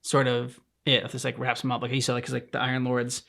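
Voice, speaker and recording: A man's voice: mid-range voice, completely flat delivery, quick pace, vocal fry, a bit bright. A man co-hosting a reaction show, giving casual commentary in a hesitant, meandering, conversational way. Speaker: co-host of a reaction channel. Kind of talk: casual chat, commentary on game cutscenes.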